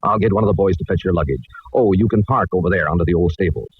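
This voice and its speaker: An actor playing a businesslike, touchy ranch owner in a 1940s radio drama, talking in a brusque, hurried way. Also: male voice, low-pitched, breathy edge. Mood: accommodating. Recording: dull 1940s radio recording.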